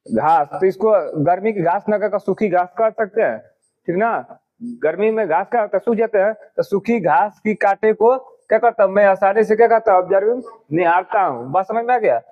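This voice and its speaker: A man's voice: sits high, phone-quality sound. A man teaching a class, explaining a poem line by line and often asking whether the students understood. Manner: repetitive questioning, drawn-out English words.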